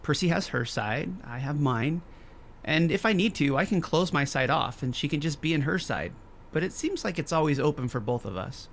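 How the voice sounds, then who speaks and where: Guarded doctor over noisy ambience. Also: female voice, low voice, expressive. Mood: calm, open. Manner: hesitant, halting delivery. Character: protective, guarded telepathic woman doctor, quietly hurt and angry. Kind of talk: scripted fiction podcast acting.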